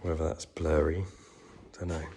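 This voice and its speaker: A man with a cold talks in a croaky, very deep voice with a gravelly edge, rambling easily and filling gaps with ums. He films handheld in a workshop, and a faint noise sits under his voice. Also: unhurried. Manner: relaxed, many ums, trailing off. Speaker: vlogger restoring a truck. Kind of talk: casual workshop walkaround.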